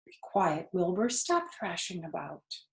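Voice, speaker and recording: Lady doing tired gentle mama spider from a kids book, calm n wise, real slow, roomy echo. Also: on the low side, vocal fry, heavily acted. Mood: gently firm. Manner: calm, gentle, measured, matter-of-fact. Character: tired, serene, wise motherly female spider. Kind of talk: children's storybook character reading.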